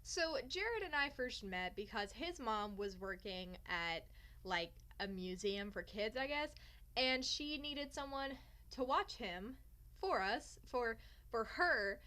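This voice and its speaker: A young woman with a high, very bright voice chats casually through a personal story. She is theatrical and sarcastic, poking fun at herself as she goes. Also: lively intonation, takes it slowly. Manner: theatrical, sarcastic, self-mocking. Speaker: vlogger telling a personal story. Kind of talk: casual storytime chat to camera.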